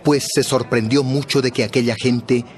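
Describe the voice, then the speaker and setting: A man's voice: medium pitch, fairly even intonation, normal speed. A man narrating a dramatized Bible story from a script.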